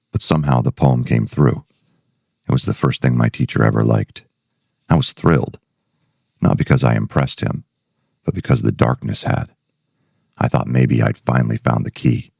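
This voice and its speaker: Brooding male writer man acting, obsessed with darkness. Extremely low, husky voice, ominous and deliberate, for a dramatic monologue. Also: a little mellow, no room sound, studio quality, full character voice. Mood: wistful, haunted. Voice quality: very deep, gravelly, husky.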